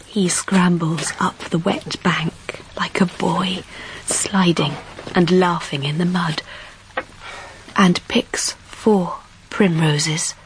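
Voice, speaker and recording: An actress playing a shy young governess, hushed and breathy, speaking her private thoughts in an audio drama. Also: on the low side, steady tone, slow pace, a little hiss or hum, low-quality recording. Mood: yearning, self-reproaching. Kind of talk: intimate inner-monologue narration in audio drama.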